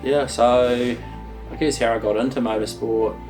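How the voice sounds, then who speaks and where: A man's voice: mid-range voice, fairly even intonation. A man, hesitantly recalling his path into motorsport in a documentary interview. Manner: halting, many ums, plain speech. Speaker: drift driver interviewee. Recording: distant mic with music bed. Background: guitar music.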